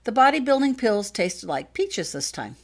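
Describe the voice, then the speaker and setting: A woman reading her own story aloud as an expressive scripted narration. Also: a little high, moderate pace. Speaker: author reading own fan fiction.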